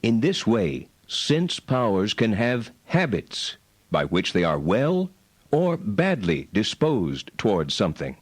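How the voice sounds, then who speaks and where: A learned medieval philosopher sets out his treatise in a low voice. He is deliberate, precise and didactic, with lively intonation in a formal dramatized reading. The sound is close and dry. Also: breathy edge, dry recording, exaggerated voice.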